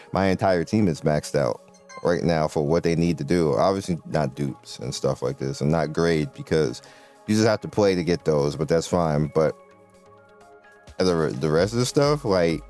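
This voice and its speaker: A young man with a very low, deep voice talks through a mobile game in a loose, rambling way. He gets excited and trips over his own sentences, restarting them as he goes. Soft music plays faintly underneath. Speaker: gaming video creator. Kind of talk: casual gameplay commentary.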